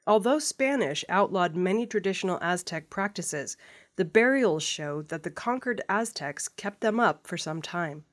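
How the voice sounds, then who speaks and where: A woman's voice: on the low side, lively intonation, moderate pace. A woman reading scripted news stories as the presenter of an audio news programme. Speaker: news reader.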